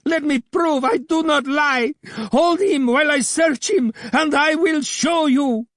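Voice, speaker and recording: A man's voice: very high-pitched, measured pace, a bit bright, no room sound, studio quality, voice pushed up. A man voicing a frantic, hateful male villain who screeches his accusations. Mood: screeching accusation.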